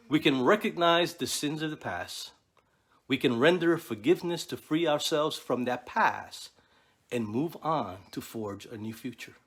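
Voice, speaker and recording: A man's voice: fairly high pitch, a bit bright, husky. A middle-aged man from New York City, a Navy chaplain, delivering a prepared speech in an earnest, preacherly way with rhythmic lists. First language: Spanish. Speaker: keynote speaker, Navy chaplain.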